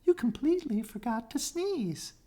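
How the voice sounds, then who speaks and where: Woman putting on a squeaky cheeky storybook mouse. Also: expressive, normal speed, dark tone. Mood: amused, reassuring. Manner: squeaky, teasing. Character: cheeky little male gray storybook mouse.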